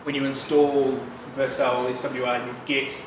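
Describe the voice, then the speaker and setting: A man in his thirties with a New Zealand accent, giving a casual, chatty developer talk at a meetup, relaxed with frequent fillers and asides. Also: mid-range voice, measured pace. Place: bright loft-like office room with an audience. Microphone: room mic, speaker standing away from it. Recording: distant, echoey room with background noise.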